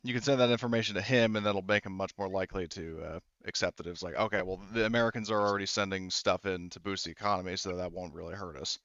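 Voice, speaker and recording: Smooth low-voiced man, slightly dull recording. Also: fairly even intonation, fast talker, hardly any pauses, fairly bright tone, dry recording. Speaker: game master. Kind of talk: casual talk running a tabletop roleplaying game.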